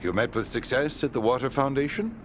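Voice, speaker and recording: A man playing a stern, dignified old quest-giver in a fantasy video game, speaking formally and deliberately. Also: medium pitch, lightly acted. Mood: approving, formal. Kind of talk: video game character acting. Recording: clearly noisy game capture.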